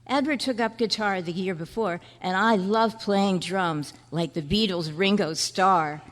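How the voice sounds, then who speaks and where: A woman's voice: medium pitch, measured pace, lightly acted. A woman playing an earnest boy, echoey hall. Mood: nostalgic, eager. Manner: chatty, boyish slang. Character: earnest, nostalgic fourth-grade boy. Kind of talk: old-time radio style stage acting. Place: large indoor room at a convention. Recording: echoey hall at a club meeting.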